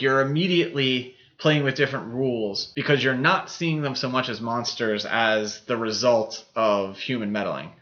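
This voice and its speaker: A man's voice: mid-range voice, bright, forceful tone, muffled recording. A man co-hosting a podcast, casually discussing films in a rambling, explanatory way. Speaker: podcast co-host.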